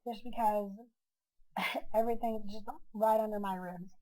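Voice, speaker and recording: A woman's voice: medium pitch, steady tone, unhurried, mellow, low-quality recording. A young woman chatting casually in a vlog. Her voice is nasal and congested from a cold, and she rambles with many filler words. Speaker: vlogger. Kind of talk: casual chat.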